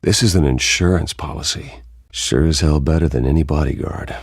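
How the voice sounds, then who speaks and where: An actor playing a brooding, guarded vigilante in a video game, terse and grave. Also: male voice, very deep voice, moderate pace, gravelly, breathy edge, no echo, studio quality, exaggerated voice. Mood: grim, determined. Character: brooding, guarded wealthy vigilante man in his prime.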